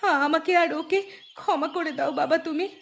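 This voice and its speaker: A woman's voice: very high voice, normal speed, creaky. A woman voicing an affectionate, dutiful young married daughter in a cartoon. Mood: apologetic. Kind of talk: Bengali cartoon dubbing.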